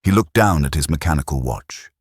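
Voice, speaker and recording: Brief: grave audiobook narrator, man, very deep and husky. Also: expressive, a little fast, no room sound, studio quality. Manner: grave, dramatic storytelling.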